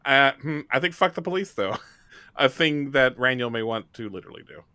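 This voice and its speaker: Animated, bright-voiced man joking over a game. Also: mid-range voice, moderate pace, clear, resonant tone, no echo, clean studio sound. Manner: jokey, playful. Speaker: podcast host and player. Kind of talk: casual tabletop game banter.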